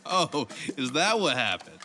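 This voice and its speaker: A man's voice: on the high side, big pitch swings, measured pace, bright, forceful tone, exaggerated voice. A man playing a bubbly, punning counselor. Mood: playful. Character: cheerful, punny male counselor.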